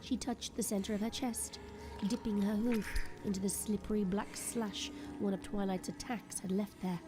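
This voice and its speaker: A woman's voice: medium pitch, fairly even intonation, normal speed. A woman giving dramatic scripted narration as the narrator of an audio drama. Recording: music behind the voice. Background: clear background music.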